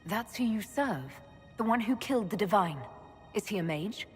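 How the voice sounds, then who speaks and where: An actress playing a firm, composed young leader in a video game, assured and blunt. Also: female voice, mid-range voice, animated, normal speed, lightly acted. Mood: challenging. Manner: assured, direct. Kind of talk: dramatic video game voice acting. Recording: long hall reverb, music underneath.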